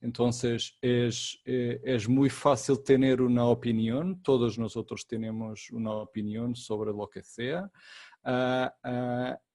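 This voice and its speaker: A consultant giving a webinar masterclass, speaking slowly in a second language that is not his native Portuguese, with frequent fillers and repeated words. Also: medium pitch, flat delivery, darkish tone, dry recording, studio quality. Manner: slow and deliberate, many fillers and repeated words. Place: online webinar.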